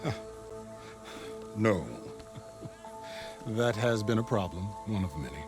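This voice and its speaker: A man's voice: on the low side, animated, takes it slowly, music in the background. An actor playing a devout, scholarly overseer in a video game, speaking in formal, reverent and grandiose phrases, deferential to his ruler. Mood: formal, measured. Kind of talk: video game dramatic acting.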